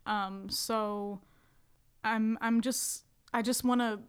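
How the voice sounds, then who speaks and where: A woman's voice: fairly high pitch, slow pace, clean tone. A young woman from South Africa with a New Zealand accent, a musician guest chatting playfully and animatedly. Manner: animated, playful, says like often. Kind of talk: casual chat.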